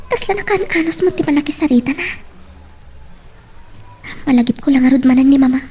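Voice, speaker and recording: A woman's voice: high voice, a little mellow, far from the mic, close to an everyday voice. A woman playing a modest, polite young office clerk in a radio-style drama, speaking a little hesitantly. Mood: wistful, respectful.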